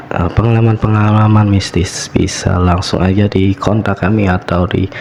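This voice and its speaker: A man with a Javanese accent hosting and telling horror stories radio-style, his voice slightly hoarse from a cold. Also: sits low, a little mellow, dull audio, a little hiss or hum. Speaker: host of a horror story show.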